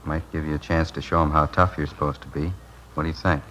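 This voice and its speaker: An actor playing a businesslike police captain in a 1950s radio drama, giving brisk, matter-of-fact orders. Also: male voice, very deep voice, steady tone, fairly bright tone, a little hiss or hum, low-quality recording.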